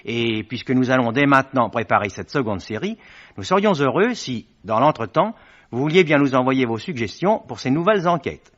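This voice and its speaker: A man's voice: medium pitch, sing-song, moderate pace. A man presenting a radio programme in a formal, courteous, scripted 1950s style, on a dull vintage recording. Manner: courteous, ceremonious.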